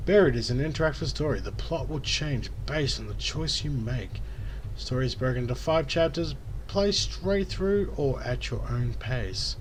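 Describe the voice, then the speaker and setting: A man's voice: mid-range voice, a little hiss or hum. A man hosting a casual game playthrough, chatting to viewers with some swearing and reading the story aloud dramatically. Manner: profane, chatty, addresses viewers directly. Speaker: gaming video host.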